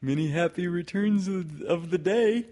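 A man voicing a kindly, slow-witted storybook bear who is childlike, cheerful and earnest, with a halting delivery. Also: medium pitch, huge pitch swings, darkish tone, breathy edge, pitched above the performer's own voice, heavily acted.

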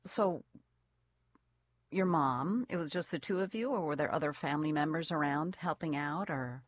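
Interviewer, halting questions, repeated starts, dry studio sound. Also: mid-range voice, dry recording. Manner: hesitant, repeats words when starting questions.

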